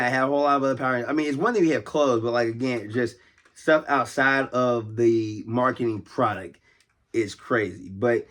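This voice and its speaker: An American man hosting a casual, unscripted vlog as a toy collector, talking in a rambling, conversational way and often repeating himself. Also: medium pitch. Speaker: toy collector and video host.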